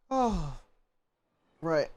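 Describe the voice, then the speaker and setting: A man's voice: on the high side, slight background noise. A man giving casual, slangy, chatty commentary as he streams a game, with music faintly behind him. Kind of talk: casual reaction commentary while gaming.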